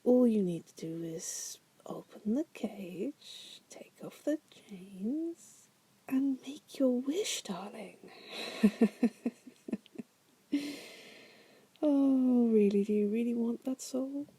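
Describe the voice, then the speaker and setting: A woman acts out a seductive demoness locked in a cage, in an intimate ASMR roleplay. The voice is very dark and heavily breathy, slipping often into a whisper. The manner is wry, patient and teasing, musing aloud as bargains are dangled. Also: a little high, animated, slow, exaggerated voice. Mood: seductive, coaxing. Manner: teasing, musing, drawling asides with soft chuckles. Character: wry, seductive female demon prisoner, patient deal-maker.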